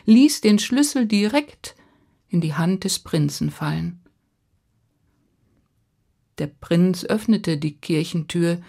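A woman narrating a fairy tale for children in a rhythmic, expressive way. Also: fairly low pitch. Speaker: storyteller.

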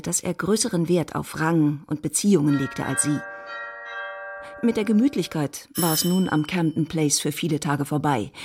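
A woman narrating a literary radio play in a composed, measured, scripted style. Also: on the low side, darkish tone, slight background noise.

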